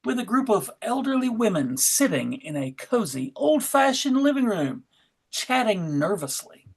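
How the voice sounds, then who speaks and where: A host with a medium-pitched voice runs a comedy table read. The delivery moves between casual chat and scripted narration. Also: very animated, unhurried, slightly rough. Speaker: panel host and stage-direction narrator.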